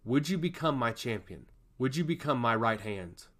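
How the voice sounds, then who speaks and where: A man voicing a solemn, curious goddess of law who speaks in an earnest, measured and formal way in a fantasy story. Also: medium pitch, expressive, a bit bright, natural voice. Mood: imploring.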